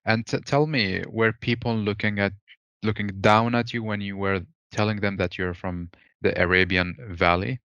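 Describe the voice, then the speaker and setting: Low-voiced man in a dry room. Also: fairly even intonation, moderate pace, a bit bright, clear, resonant tone, dry recording, lo-fi sound. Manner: asks short probing questions. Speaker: podcast host. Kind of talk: interviewing.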